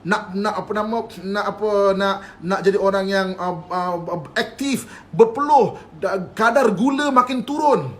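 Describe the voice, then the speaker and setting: A man's voice: high voice, a little slow, hardly any pauses, fairly bright tone. A man with a Malaysian Malay accent giving a live-streamed religious lecture. He is playful, keeps asking whether his listeners follow, and often chuckles. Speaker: religious teacher.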